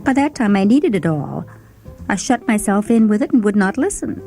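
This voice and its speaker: A woman narrating a first-person short story in a dramatic, expressive, theatrical manner. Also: medium pitch, moderate pace. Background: music.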